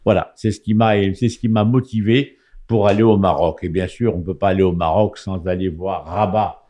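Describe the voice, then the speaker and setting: Low-voiced hesitant man from Morocco. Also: brisk, warm tone. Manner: hesitant, many fillers and restarts. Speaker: guest commentator. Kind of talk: casual radio chat. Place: radio studio.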